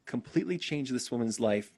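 A man excitedly sharing a faith testimony as a guest, with frequent restarts. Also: mid-range voice, brisk, breathy edge.

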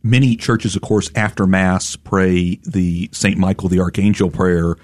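A man hosting talk radio with a fairly low voice and a chatty, laughing manner. He sounds close and dry, with no room in the sound. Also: fairly even intonation, a little slow, no room sound. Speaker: radio host. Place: radio studio.

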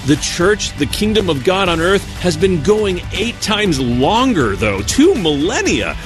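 Male morning host, high and lively, promo read with music. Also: a little slow. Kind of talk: scripted promo.

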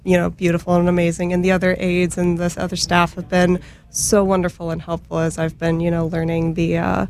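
A woman's voice: fairly low pitch, completely flat delivery, a little fast, darkish tone, slight background noise. A young adult woman, chatting casually as an interview guest in a hesitant, enthusiastic way full of fillers. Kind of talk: casual chat.

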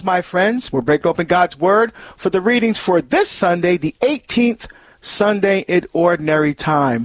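Upbeat high-voiced radio host deacon. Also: male voice, no echo. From Barbados. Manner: upbeat, animated, fond of exclamations.

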